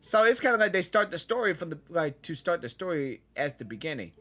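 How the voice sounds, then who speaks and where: A woman gamer giving casual, excitable reaction commentary, often saying "like" and "dude". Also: fairly low pitch, fairly quick. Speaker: gamer reacting to a game on camera.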